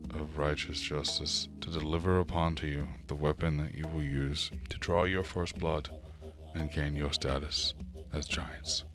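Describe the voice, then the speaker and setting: Man doing a low, zealous pious tribesman. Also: level tone, unhurried, a bit bright. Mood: fervent, righteous. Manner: florid, righteous oath-making. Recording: music under the voice.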